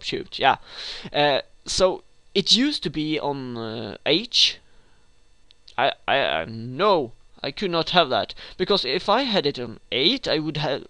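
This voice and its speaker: A man's voice: a little high, sharp tone, dry recording. A young man from Sweden with a Swedish accent, hosting a rambling game tutorial with many hesitations and restarts. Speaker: gaming tutorial host.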